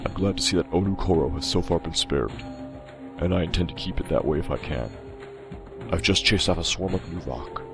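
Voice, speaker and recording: Resolute earth-elemental hero played by a male actor, low voice, earnest audiobook drama, music underneath. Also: brisk, creaky. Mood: urgent, grave. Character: Onua, strong determined male earth warrior hero.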